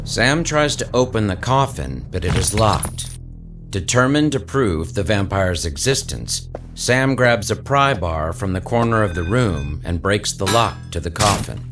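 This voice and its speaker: A man's voice: on the low side, animated, a little slow. A man reading dramatic scripted narration for an audio story, with music behind him.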